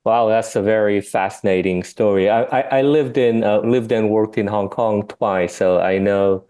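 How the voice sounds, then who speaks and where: Mellow low-voiced male interviewer. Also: fairly even intonation, moderate pace. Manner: polite and encouraging, with fillers. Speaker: interview host. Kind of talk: interview questions.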